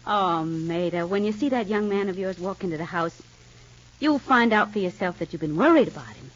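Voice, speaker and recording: An actress playing a kindly married woman in a 1940s radio soap, speaking in a gentle, motherly way. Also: lowish voice, lively intonation, brisk. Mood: soothing. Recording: dull lo-fi old radio recording.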